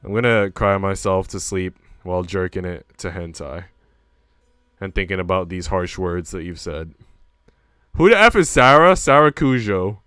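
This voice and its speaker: A man's voice: deep voice, animated, moderate pace, sharp tone, smooth, no room sound, clean studio sound. A man livestreaming in casual chat, slangy and bantering with mock outrage.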